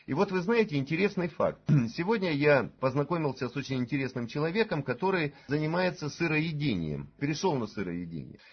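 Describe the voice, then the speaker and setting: A man in his forties giving a conference talk to promote a supplement. He speaks hesitantly and fills his pauses with drawn-out "uh"s. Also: on the high side, sing-song, breathy edge, muffled recording. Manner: hesitant, many drawn-out "uh" fillers. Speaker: presenter at a sales conference.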